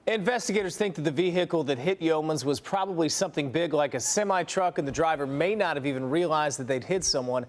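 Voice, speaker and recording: A man's voice: on the high side, quick pace, few pauses. An American man anchoring TV morning news in a scripted news-reading style.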